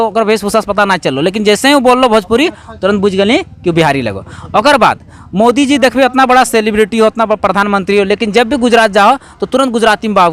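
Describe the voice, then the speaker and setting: A man's voice: high voice, a bit bright, slight background noise. A man from Jharkhand with a Jharkhandi accent answers interview questions as an activist, explaining emphatically with repeated phrases and rhetorical questions. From Jharkhand, India.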